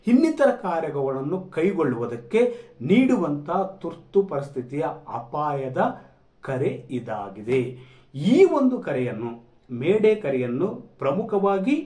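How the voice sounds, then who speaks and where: A male news presenter speaks in a fairly high voice. He explains things to viewers with emphasis, restating key phrases as he goes. The room around him adds a clear echo. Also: darkish tone. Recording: echoey, reverberant room.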